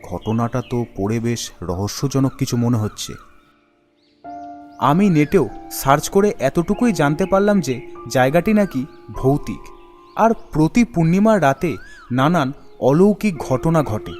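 A man's voice: fairly high pitch, lively intonation, unhurried, pauses often, a little mellow, faint music behind. A man voicing an eager young male friend planning spooky adventures in a cartoon ghost story, chatty and enthusiastic. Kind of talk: animated ghost story character voice.

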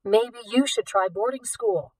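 A woman's voice: fairly high pitch, measured pace, darkish tone, husky, low-quality recording. Woman reading as a wry, probing teenage girl thief. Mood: wry, accusing.

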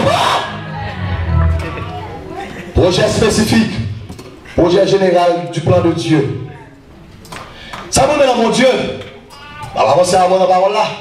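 A man preaches at full cry, his voice pitched very high and rising into shouted, chanted calls. He paces a small church with a handheld mic. The sound is caught by a camera at the back, so it booms through a very echoey room with music underneath. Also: distant mic. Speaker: evangelist preacher. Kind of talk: fiery sermon. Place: small church sanctuary, indoors. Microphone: handheld mic through PA, recorded from a far camera.

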